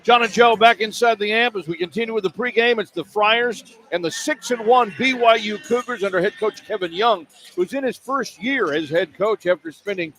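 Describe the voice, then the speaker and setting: A man's voice: high voice, fairly bright tone, low-quality recording. An American man hosting a sports radio pregame broadcast as play-by-play announcer, with a polished delivery.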